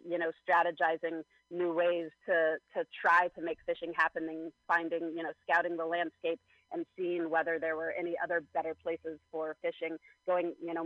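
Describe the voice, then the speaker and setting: A man with a somewhat high voice gives unhurried, considered answers, pausing on fillers as he goes. The sound is a bit dull and lo-fi, with a very dry, close feel. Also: dry recording. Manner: thoughtful, measured, many fillers. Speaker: guest. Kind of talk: conversational interview answers.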